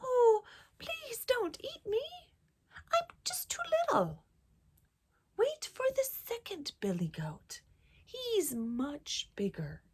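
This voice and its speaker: Woman as a tiny, squeaky, timid little billy goat. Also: wildly swooping pitch, takes it slowly, full character voice. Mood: pleading. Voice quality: very small, breathy, half-whispered voice. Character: tiniest youngest billy goat, timid, pleading male.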